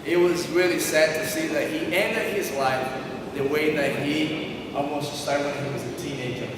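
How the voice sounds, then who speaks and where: Man, Brazilian Portuguese accent, animated storytelling, distant mic, long hall reverb, faint background noise. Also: on the high side, normal speed. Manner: animated storyteller with non-native grammar and frequent fillers. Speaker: speaker sharing his life story. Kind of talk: personal storytelling to an audience.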